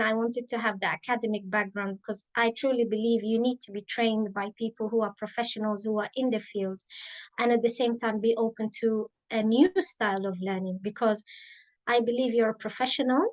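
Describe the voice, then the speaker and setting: A woman in her thirties, raised in the Emirates, telling her career story in an interview, thoughtful and pausing with many ums. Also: on the high side, fairly even intonation, low-quality recording. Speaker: guest, biomedical scientist and author. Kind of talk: interview, reflective personal story.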